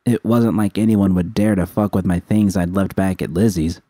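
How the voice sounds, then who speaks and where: Male narrator for first-person fiction. Very low, soft dark tone, dry sound. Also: fairly even intonation, moderate pace, dry recording. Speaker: audiobook reader of fan fiction.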